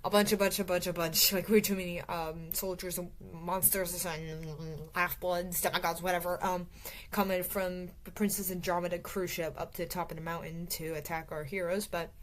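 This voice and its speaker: A woman's voice: fairly low pitch, little pitch movement, normal speed, slight background noise, rough recording. Rambling woman reviewing a book. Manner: rambling, many fillers and restarts. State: voice getting tired. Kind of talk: casual book review recap.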